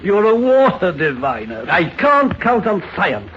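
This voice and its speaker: An actor playing a proud, exasperated British general in a 1950s radio drama, blustering and imperious. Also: male voice, high voice, wildly swooping pitch, a little slow, heavily acted. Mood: incredulous, scornful. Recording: muffled vintage radio recording, faint noise.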